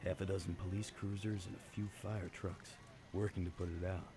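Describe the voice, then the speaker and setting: An actor playing a world-weary, hard-drinking detective in a noir radio play, with wry, understated delivery. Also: male voice, sits low, level tone, a little fast, dark tone, a little hiss or hum. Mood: wry, brooding. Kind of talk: noir radio drama narration.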